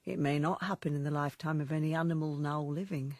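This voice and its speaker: A woman acting in a radio drama as a weary, aged, faithful farm animal, speaking earnestly and haltingly in a husky voice. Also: deep voice, fairly even intonation, a little mellow, dry recording, studio quality. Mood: weary resignation. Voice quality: husky, creaky, breathy. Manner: earnest, halting, fading to whispers.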